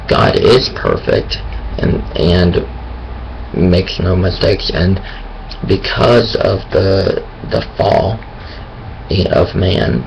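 Slow, gravelly low-voiced man. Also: muffled recording, slight background noise. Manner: hesitant, thinking aloud with many pauses and restarts. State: raspy voice. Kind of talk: casual monologue on faith.

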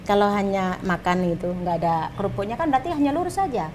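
A talkative woman in her forties chats at a table on a television set, running her words together and repeating herself as she explains her food business. Her voice sits at an ordinary middle pitch. A clear steady noise runs under the studio recording. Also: fast talker. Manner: chatty, laughs often, repeats words. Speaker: guest, home food seller. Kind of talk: casual studio chat.

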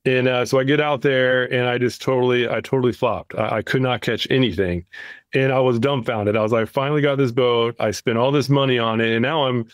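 A man's voice: mid-range voice, steady tone, brisk, no room sound, studio quality. A man co-hosting a podcast, talking casually and anecdotally, often repeating words as he explains. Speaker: podcast co-host.

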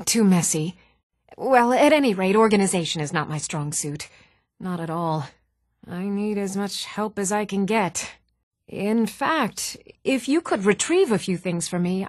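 An earnest woman from a fantasy video game, speaking in an even-toned voice of medium pitch. The sound is dry, with no room around the lines. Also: no echo. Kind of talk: video game character dialogue.

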